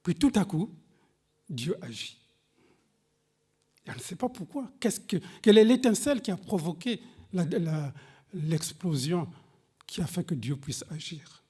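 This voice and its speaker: Male preacher, non-native French speaker, high voice, unhurried and emphatic sermon delivery. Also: animated, dark tone, breathy edge. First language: a language other than French. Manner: slow, emphatic preaching with dramatic pitch swings, reading scripture aloud; addresses the congregation directly. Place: church service.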